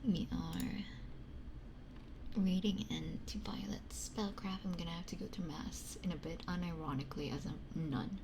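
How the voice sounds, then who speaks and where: A young woman ASMR streamer chatting to her viewers in a breathy, mostly whispered voice, casual, candid and playfully self-mocking, close on a binaural microphone. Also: fairly low pitch, fairly even intonation, measured pace, background noise. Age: young adult. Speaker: ASMR livestreamer. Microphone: 3Dio binaural ASMR microphone, close up.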